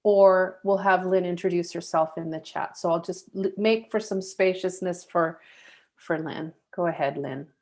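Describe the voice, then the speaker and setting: A woman moderating an online panel, calling on speakers calmly and patiently over a video call. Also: fairly low pitch, moderate pace. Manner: calm, patient, facilitating.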